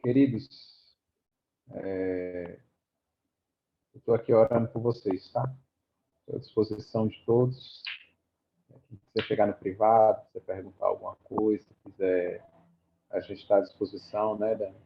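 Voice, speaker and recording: A man's voice: lowish voice, steady tone, a little slow, gravelly, pauses often, husky. A man with a Brazilian Portuguese accent giving earnest, prayerful closing words in an online class. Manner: earnest, repetitive prayerful delivery. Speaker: guest speaker. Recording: muffled lo-fi audio.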